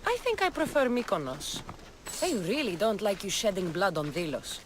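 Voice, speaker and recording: A woman's voice: mid-range voice, sing-song, normal speed, background noise, lightly acted. An actress playing a tough, wry female Greek mercenary in a video game, dry and guarded in manner. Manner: dry, guarded, matter-of-fact. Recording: background music under the voice.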